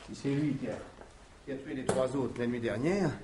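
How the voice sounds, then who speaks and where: An actor playing a practical, deferential police subordinate in a radio mystery. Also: male voice, mid-range voice, moderate pace, a crowd in the background, natural voice. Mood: cautious. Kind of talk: 1950s-style French radio mystery acting.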